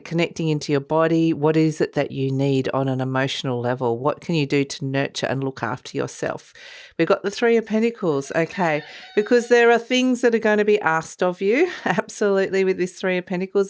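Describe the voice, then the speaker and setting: A woman doing a casual tarot reading, croaky and a bit congested from a cold, talking gently and rambling with soft laughs. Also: sits low. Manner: gentle, meandering, laughs softly between thoughts. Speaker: tarot reader.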